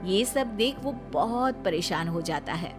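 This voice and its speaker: A woman narrating a cartoon story in an even storytelling manner. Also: mid-range voice, a little slow. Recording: faint music behind.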